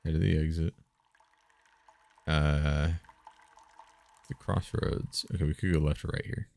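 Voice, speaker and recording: A man's voice: very deep voice, steady tone, measured pace, creaky, darkish tone, slight background noise. A man hosting a game stream, reading story text aloud and dropping in casual, playful asides.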